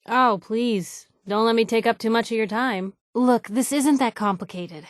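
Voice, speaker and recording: Woman acting as young female game companion, feisty reporter, higher voice, sardonic, guarded yet loyal, emphatic, close dry sound. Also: normal speed, no room sound, lightly acted. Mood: stern, exasperated. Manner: sardonic, emphatic, hesitant when vulnerable. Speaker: video game companion character. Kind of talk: naturalistic game dialogue acting.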